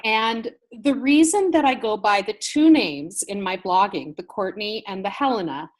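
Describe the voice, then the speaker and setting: A woman's voice: mid-range voice, normal speed. An American woman, a gardening blogger, chatting as a guest on an interview and telling stories with light laughs. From United States. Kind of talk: casual interview chat.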